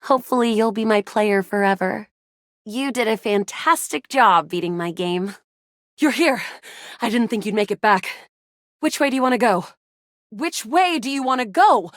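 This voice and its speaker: A woman's voice: sits high, fairly bright tone, no room sound, clean studio sound. A young woman voicing a living video game as a playful, eager, theatrical adventurer character. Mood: grateful. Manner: theatrical, emphatic.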